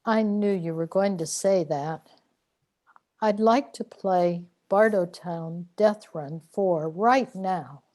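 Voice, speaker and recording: A weary woman trapped in a cosmic limbo, played by an actress for a sci-fi radio drama. Her voice sits fairly low and moves very slowly, with a plaintive, complaining edge. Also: dark tone. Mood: weary, fed up.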